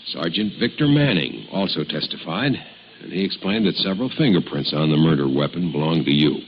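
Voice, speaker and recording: An actor playing a stern, pressing deputy district attorney in a radio drama, speaking formally and forcefully with deliberate phrasing. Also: male voice, rumbling low, lively intonation. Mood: stern, insistent. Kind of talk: 1950s radio drama acting. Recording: muffled, noisy old radio recording.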